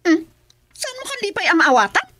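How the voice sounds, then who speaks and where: A woman's voice: very high voice, big pitch swings, fairly quick, pushed and bright, full character voice. A woman playing a fed-up wife in a radio drama comedy, with an Ilocano accent. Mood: exasperated. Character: fed-up wife giving an ultimatum to her husband.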